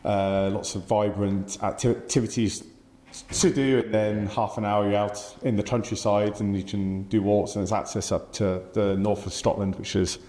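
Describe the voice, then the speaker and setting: A man's voice: on the low side, fairly even intonation, normal speed, dark tone, reverberant. A man in his forties with an English accent, answering interview questions thoughtfully with many fillers and restarts. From England. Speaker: podcast guest.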